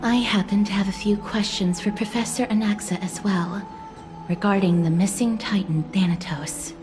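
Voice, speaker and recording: An actress playing a soft-spoken, solemn young woman in a video game, talking politely and in a subdued, earnest way. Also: mid-range voice, fairly even intonation, normal speed, music in the background, natural voice. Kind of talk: scripted video game dialogue.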